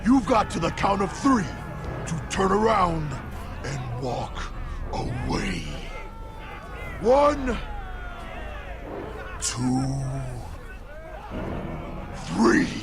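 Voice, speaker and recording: Casting: brutish pit fighter, high-pitched for a man, slow theatrical threats, crowd noise behind. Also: big pitch swings, over music, exaggerated voice. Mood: menacing. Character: menacing, taunting brutish male pit fighter. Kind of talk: video game acting.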